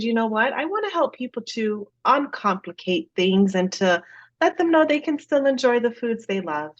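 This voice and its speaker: A woman's voice: a little high, highs cut off. A woman with a slight Jamaican accent over an American one, a dietitian guest telling her story in a casual podcast interview. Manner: lively storyteller with frequent "you know" asides.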